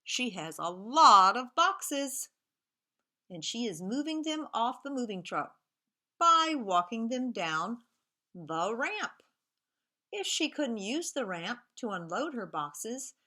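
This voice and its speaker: A woman teacher reads a storybook aloud to young children, pausing to explain things to them. She speaks slowly and clearly, and her bright voice swings up and down in a sing-song storybook way. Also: fairly high pitch. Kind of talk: children's read-aloud lesson.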